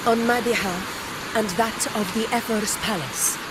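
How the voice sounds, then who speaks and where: An actress playing a proud, commanding gladiator leader in a video game, speaking formally with stately self-assurance. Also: female voice, mid-range voice, unhurried, heavy background noise, natural voice. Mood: courteous, formal. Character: proud, commanding female gladiator war leader, regal and battle-hungry. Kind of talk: dramatic video game character dialogue.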